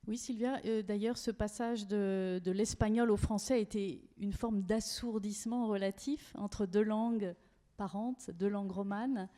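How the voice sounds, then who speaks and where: A woman moderating an academic panel, measured and formal, often pausing with fillers. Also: mid-range voice, fairly even intonation, warm tone, in a large hall. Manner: measured, many hesitation fillers.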